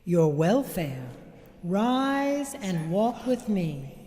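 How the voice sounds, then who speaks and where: A woman playing a calm, solemn, gentle spirit in a radio drama, speaking in measured phrases. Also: mid-range voice, slight background noise.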